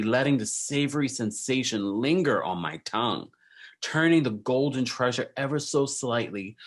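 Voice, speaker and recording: A man hosting an online video, chatting casually and conversationally straight to his viewers. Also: mid-range voice. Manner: conversational, addresses viewers directly.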